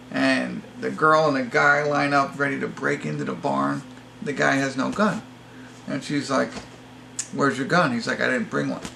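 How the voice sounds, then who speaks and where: A man's voice: medium pitch, gravelly, pushed and bright, slight background noise. A man giving a casual, unscripted movie review to viewers, rambling and hesitant, with an apologetic way of talking. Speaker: amateur movie reviewer, host.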